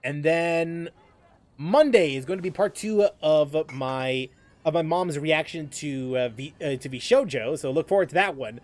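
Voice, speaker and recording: A man hosting a casual gaming livestream, chatting in a halting way and often repeating and restarting his phrases. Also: on the high side, a little mellow. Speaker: livestream host, gamer.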